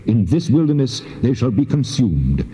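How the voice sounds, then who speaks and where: An actor playing God as a stern, solemn deity, speaking in a measured, grave, declamatory way in a dramatized scripture recording. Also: male voice, medium pitch, sing-song, mellow, lightly acted. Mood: stern, condemning. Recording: dull tape with long hall reverb.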